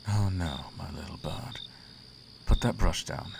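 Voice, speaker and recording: A man playing a seductive, imperious demon prince in a flirtatious roleplay ASMR, speaking in a courtly, teasing manner with a creaky low voice. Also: measured pace, heavy background noise, full character voice. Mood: seductive, indulgent.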